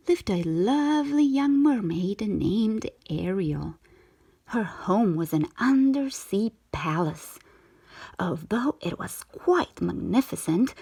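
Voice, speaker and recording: A woman reading a children's storybook aloud in an expressive, measured storytelling manner. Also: mid-range voice, husky. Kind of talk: scripted storybook narration, read aloud.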